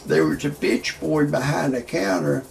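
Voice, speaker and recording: Rambling sixties man, gravelly voice. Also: fairly high pitch, darkish tone, a little hiss or hum. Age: 60s. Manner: rambling, halting, with frequent "uh" fillers and restarts. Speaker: amateur online show host. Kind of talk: rambling monologue with stand-up jokes.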